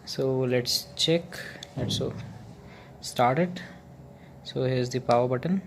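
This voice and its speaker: Indian-accented man over clear background noise. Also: medium pitch, slow. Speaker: video host, product reviewer. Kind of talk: casual unboxing narration.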